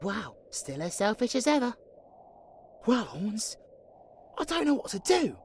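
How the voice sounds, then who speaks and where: A woman acting a chatty, anxious, excitable sidekick in a fantasy audio drama, casual and exasperated. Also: a little high, unhurried, slight background noise. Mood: worried, exasperated.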